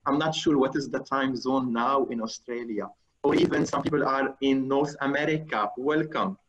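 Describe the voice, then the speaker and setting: A man hosting a webinar on a video call who runs an audience poll in a procedural way, pausing with fillers as he reads the results. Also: mid-range voice, lo-fi sound, background noise. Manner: procedural, pauses with fillers while reading the results. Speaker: webinar host. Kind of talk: moderating a live webinar poll.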